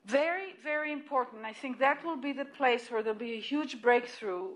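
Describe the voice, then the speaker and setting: Woman, Israeli accent, high-pitched, animated lecture, distant mic in a room. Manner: animated and emphatic, with restarts and repeated points. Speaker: lecturer at hospital grand rounds. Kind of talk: lecture presentation.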